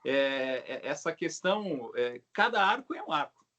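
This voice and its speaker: A middle-aged Brazilian man with a slightly high voice talks casually as an interviewed craftsman. He explains things haltingly, restarting and adding little tag questions as he goes. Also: big pitch swings, bright, forceful tone. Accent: Brazilian Portuguese. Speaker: craftsman, bow maker being interviewed. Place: video call.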